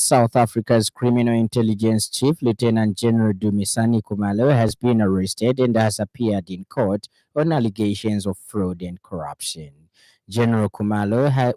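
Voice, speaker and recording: Low-pitched Zambian newsreader, formal man. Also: dark tone, dry recording. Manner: formal, measured delivery. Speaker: radio news presenter. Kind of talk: scripted news bulletin reading. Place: radio studio.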